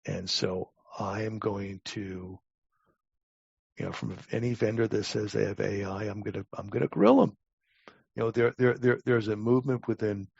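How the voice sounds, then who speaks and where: An older man answers interview questions with a deeply creaky, rough-edged voice. He is blunt and emphatic, doubling back on words as he goes. The recording is dry and somewhat muffled. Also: darkish tone, dry recording. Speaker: podcast guest, talent acquisition advisor. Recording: dull, muffled lo-fi audio.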